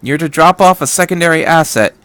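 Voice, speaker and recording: A man voicing a brisk, resolute female military officer in a story, commanding and matter-of-fact. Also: on the high side, pushed and bright, no echo. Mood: determined.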